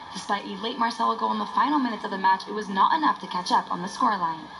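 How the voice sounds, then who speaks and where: A woman reading a scripted sports preview as a news reporter. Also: medium pitch. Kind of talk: scripted match preview narration. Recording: clear background noise behind voice.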